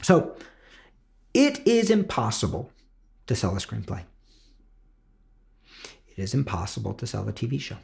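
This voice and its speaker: A man's voice: on the low side, wildly swooping pitch, unhurried, vocal fry, pauses often, a little mellow. A man hosting and teaching in a motivational podcast style, speaking emphatically with repetition and frequent rhetorical check-ins. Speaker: screenwriting teacher and podcast host.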